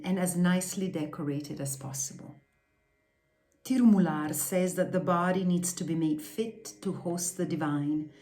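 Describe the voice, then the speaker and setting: A woman with a fairly low voice reads a scripted lesson on yoga philosophy. Her delivery is measured and deliberate, with each term carefully pronounced. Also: warm tone. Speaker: reader of a yoga philosophy text. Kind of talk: scripted reading, instructional.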